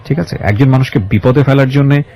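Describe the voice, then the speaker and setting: A man's voice: mid-range voice, a little fast, warm tone. A man with a Bangladeshi accent hosting a radio interview, speaking in an emphatic, moralizing way and mixing in English words. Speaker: radio show host. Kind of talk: radio interview with reflective monologue to listeners.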